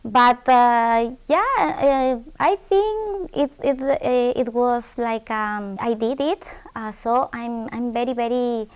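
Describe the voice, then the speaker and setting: A young woman with an Ecuadorian Spanish accent speaks English slowly and haltingly, often repeating words as she restarts her sentences. Her voice is higher than most and sounds smooth and clear. She is answering interview questions about her studies. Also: expressive. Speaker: graduate scholarship student.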